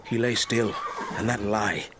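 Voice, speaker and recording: An actor playing a proud, devout older Moorish stableman in a period radio drama, solemn, measured and poetic. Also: fairly low pitch, steady tone, natural voice. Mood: grave, haunted. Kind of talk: period radio drama acting, lyrical speech. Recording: clearly noisy stable ambience behind.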